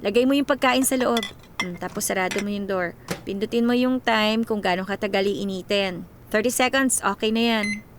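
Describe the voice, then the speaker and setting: An actress with a Filipino accent playing a devoted, hardworking young migrant worker and encouraging best friend in a radio drama. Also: female voice, mid-range voice, moderate pace, noisy background, close to an everyday voice. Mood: warm, caring. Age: young adult.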